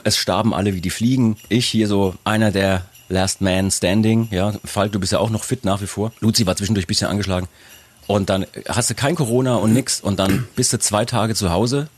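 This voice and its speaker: Hoarse, low-voiced male podcast host. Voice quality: husky. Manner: chatty, self-mocking. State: hoarse from a cold. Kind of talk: casual chat. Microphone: video call.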